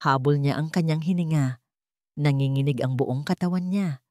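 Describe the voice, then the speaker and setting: A woman's voice: low voice, normal speed, dark tone, no echo, studio quality. A woman narrating a scripted story in a dramatic style.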